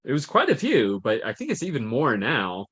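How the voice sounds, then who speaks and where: A man hosting a casual live-stream chat, halting and repeating words as he talks. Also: mid-range voice, wildly swooping pitch, a bit bright, breathy edge, no echo. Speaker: host.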